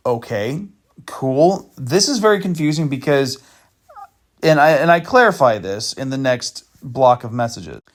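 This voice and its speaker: Man, casual host, dry sarcastic asides, rambling delivery, mid-pitched. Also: animated, a little slow. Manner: loose, meandering with fillers and restarts. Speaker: channel host exposing a scammer. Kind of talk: casual commentary walking through screenshots.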